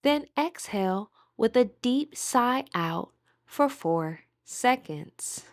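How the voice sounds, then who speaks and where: A woman guiding a meditation in a soothing, deliberate manner with gentle pauses. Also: fairly high pitch, animated, dry recording, studio quality. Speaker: meditation guide. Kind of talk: guided meditation narration.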